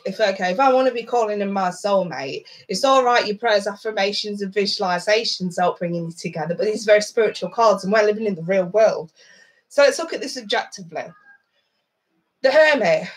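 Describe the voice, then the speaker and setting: A woman's voice: medium pitch, poor audio. Blunt, stuffed-up woman reading tarot. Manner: blunt, swears freely, chatty asides. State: stuffed-up nose. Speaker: tarot reader. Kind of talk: casual tarot card reading.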